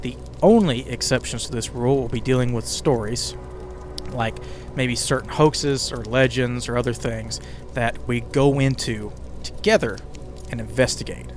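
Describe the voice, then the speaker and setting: A man hosting a podcast with conversational scripted narration, slipping in casual asides to the listener. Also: mid-range voice. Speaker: podcast host and writer. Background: ambient music bed with crackling fire sounds.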